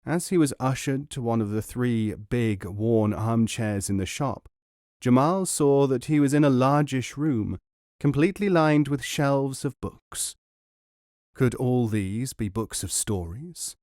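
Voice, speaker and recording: A man reading a novel aloud in a measured storytelling voice at a middling male pitch. The recording is close and dry, with no room sound. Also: lively intonation, darkish tone, no echo. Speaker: audiobook narrator.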